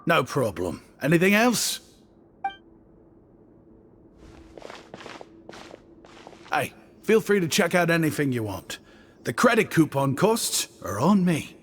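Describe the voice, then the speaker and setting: A breezy, effusive young video game shopkeeper. His voice sits a bit high and moves around with animation. It rings in a long reverb, with some music behind. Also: sing-song, moderate pace, slight background noise. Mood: friendly, generous. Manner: effusive, breezy, self-deprecating. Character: affable, chatty, flattering male stand-in shopkeeper.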